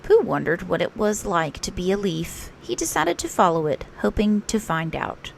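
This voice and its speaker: A woman reading a children's picture book aloud as a storytelling teacher, expressive and unhurried. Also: lowish voice, creaky, a little hiss or hum, low-quality recording.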